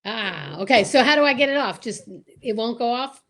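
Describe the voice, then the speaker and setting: A woman's voice: a little high, animated, moderate pace, fairly bright tone. A woman hosting a show in casual livestream chat, talking in an enthusiastic, gushing way. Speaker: show host.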